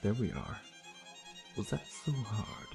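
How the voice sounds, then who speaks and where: A man's voice: on the low side, very animated, slow, vocal fry, music in the background, heavily acted. A man playing a suave vampire nemesis in an intimate ASMR roleplay, with a smug, sardonic, teasing drawl that mixes flirtation and menace.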